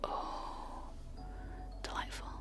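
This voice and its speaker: Softly whispering woman, close to the mic. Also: extremely slow. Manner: soft whisper, giggly and self-deprecating. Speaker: ASMR streamer. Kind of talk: whispered ASMR chat with trigger sounds. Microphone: close sensitive microphones.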